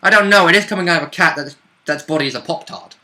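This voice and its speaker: A man's voice: a little high, quick pace, bright, forceful tone. A man chatting as a player in a tabletop game, blunt, joking and free with swearing. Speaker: player in a tabletop roleplaying group. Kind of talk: casual banter about a tabletop game.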